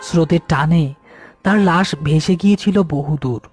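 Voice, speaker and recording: A man's voice: a little high, normal speed, a little mellow. A man narrating a scripted ghost story, with music behind him.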